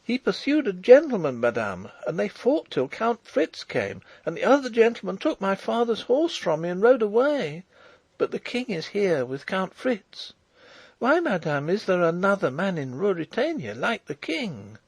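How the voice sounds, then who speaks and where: A man's voice: high-pitched, sing-song, normal speed, breathy edge, no echo, pitched above the performer's own voice, heavily acted. A man voicing an eager, respectful but stubborn little farm girl in an audiobook, earnest and insistent. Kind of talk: audiobook character voice.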